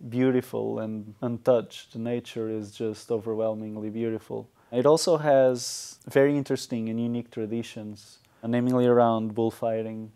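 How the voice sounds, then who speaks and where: A man's voice: mid-range voice, warm tone, clear, resonant tone. A man in his thirties with a Portuguese accent, answering interview questions conversationally in a studio. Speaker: interview guest, software tech lead. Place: small indoor talk show studio.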